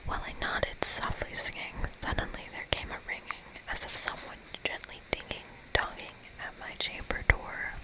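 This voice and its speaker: Someone speaking in a breathy, unvoiced whisper, reading a game aloud as an ASMR host. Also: moderate pace, hardly any pauses, rough recording.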